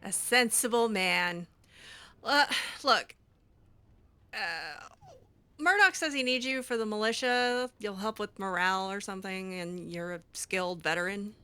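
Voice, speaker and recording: A woman's voice: medium pitch, measured pace, pushed and bright, clear, resonant tone, soft background music. A woman roleplaying her way through a fantasy video game, improvising in character with a hesitant, wry, sarcastic manner. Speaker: gamer roleplaying a mage heroine.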